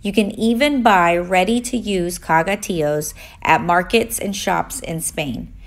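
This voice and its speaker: A woman reading a children's story aloud in an expressive, deliberate way for young listeners. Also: on the low side, vocal fry.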